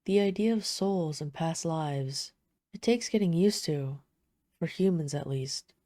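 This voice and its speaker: A woman playing a poised, flirtatious vampire, speaking softly and teasingly in an intimate audio roleplay. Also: deep voice, a little slow, dry recording, studio quality. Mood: wistful, hushed. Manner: soft-spoken, teasing, smooth formal phrasing. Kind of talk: intimate scripted audio roleplay addressed to the listener.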